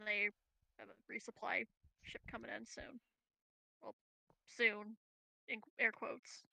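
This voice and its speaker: Female game player, forceful bright tone, gravelly fry, flat intonation, casual talk. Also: fairly high pitch, slow, no room sound, poor audio. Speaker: player in a tabletop roleplaying game.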